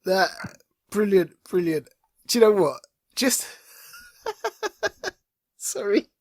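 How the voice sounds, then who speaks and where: Husky middle-aged British man, chatting casually. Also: high-pitched, lively intonation, slow, no room sound, studio quality. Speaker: podcast co-host. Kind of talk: casual chat.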